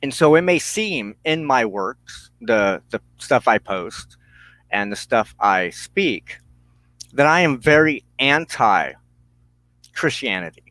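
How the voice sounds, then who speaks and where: Slow, deliberate man in a dry recording. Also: mid-range voice, big pitch swings, fairly bright tone, dry recording, poor audio. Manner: halting, deliberate, broken into short phrases with pauses. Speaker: host of a spiritual broadcast. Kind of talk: reflective spoken monologue on personal belief.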